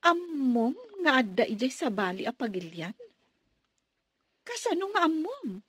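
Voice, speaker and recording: Actress as high-voiced, kind dutiful young woman. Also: very animated, a little slow, a bit bright, breathy edge, exaggerated voice. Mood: guarded. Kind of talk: radio drama acting.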